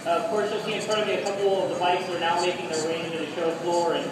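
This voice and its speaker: Man, show tour host, fast, promotional, echoey convention hall, distant mic, loud crowd. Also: high voice, level tone. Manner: upbeat, promotional, introduces the next speaker. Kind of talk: walking presentation at a trade show. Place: large indoor convention center show floor.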